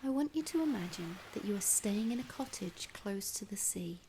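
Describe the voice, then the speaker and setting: A woman narrating a scripted guided imagery meditation in a soft, soothing, breathy voice, gently instructing with long pauses. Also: medium pitch, expressive, poor audio. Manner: unhurried, with long pauses between phrases. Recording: clear background ambience noise.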